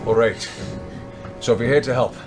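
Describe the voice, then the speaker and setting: A man voicing a weary, older, burly halfling leader who speaks gravely and deliberately. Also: mid-range voice, steady tone, music in the background, natural voice.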